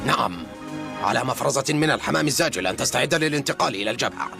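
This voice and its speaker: A man's voice: medium pitch, lively intonation, very fast, creaky, music in the background. A man playing a firm, unyielding army sergeant in a dubbed cartoon, reporting formally. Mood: formal, urgent.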